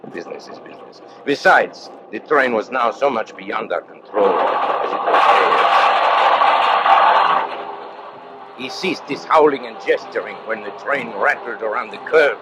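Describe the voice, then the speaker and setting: An actor playing a cold, calculating criminal mastermind confessing in an old-time radio suspense drama, deliberate, formal and theatrical, with a husky voice. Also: male voice, on the low side, background noise, lightly acted. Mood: intense, dramatic.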